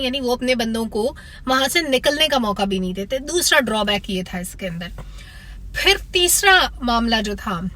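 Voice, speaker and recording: A woman's voice: a little high, bright, forceful tone. A woman with a Pakistani accent giving a critical, opinionated spoken film review to viewers.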